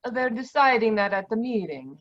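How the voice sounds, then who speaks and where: An actress playing a bitter, proud, anguished Sicilian woman in a 1940s-style radio drama, halting and emotional, over a dull video call. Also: a little high, big pitch swings, noisy background. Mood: wary, defensive.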